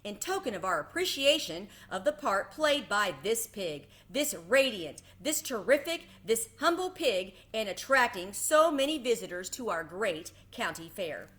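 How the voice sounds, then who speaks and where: A woman voicing a booming, pompous male fairground announcer from a storybook, with a declamatory, oratorical delivery. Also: big pitch swings, a little slow, few pauses, fairly bright tone. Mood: formal, proud.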